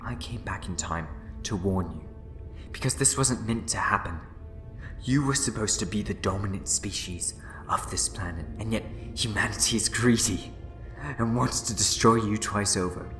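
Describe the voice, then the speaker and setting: A man playing a scheming, bitter renegade Time Lord in a sci-fi audio drama, speaking in theatrical, condescending, deliberate speeches. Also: fairly low pitch, fairly bright tone, echoey room, soft background music, natural voice. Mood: bitter, urgent.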